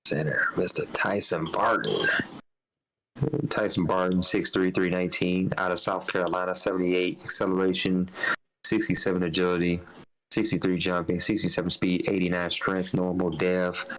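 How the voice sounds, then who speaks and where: A man's voice: low voice, flat delivery, normal speed, a bit bright, rough recording. A man in a live podcast, chatting loosely in slang and reading out player stats. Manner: loose slangy talk, reads stats aloud. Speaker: league member on podcast. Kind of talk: casual banter.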